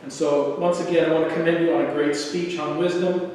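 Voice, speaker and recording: A man with a tenor voice evaluating a speech at a club meeting, measured and encouraging. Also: on the high side, fairly even intonation, a little mellow, a little hiss or hum. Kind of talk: spoken feedback. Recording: echoey hall from far off.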